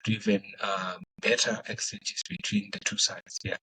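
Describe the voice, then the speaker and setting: A man's voice: fairly low pitch, big pitch swings, normal speed, sharp tone, slightly rough, poor audio. A young man from Zimbabwe whose first language is Shona, answering interview questions thoughtfully with many fillers and restarts. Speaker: guest.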